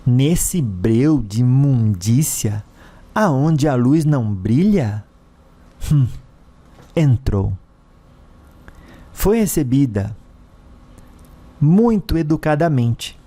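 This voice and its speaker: A man with a Brazilian accent narrating a scripted story dramatically, in deliberate, theatrical phrases broken by short pauses. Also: mid-range voice, mellow.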